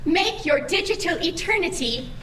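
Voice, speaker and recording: A woman's voice: very high voice, expressive, normal speed, roomy sound, distant mic, heavy background noise. A woman playing an anxious, chirpy AI assistant in an audio drama, with formal, over-eager politeness. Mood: chirpy, earnest. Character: anxious, chirpy female AI assistant guiding the digital afterlife.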